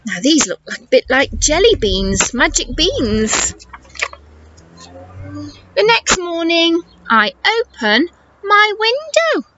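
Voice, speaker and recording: A woman teacher leads a children's storytime in a high, very animated voice. She speaks slowly and encouragingly, with exaggerated intonation for little ones. The recording is slightly muffled and noisy. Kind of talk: children's storytime read-aloud with questions and explanations.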